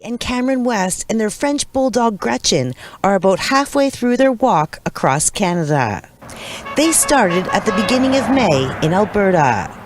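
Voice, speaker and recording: A woman's voice: medium pitch, sing-song, normal speed, faint music behind. A woman narrating a scripted news report as a reporter. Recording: faint ambient noise.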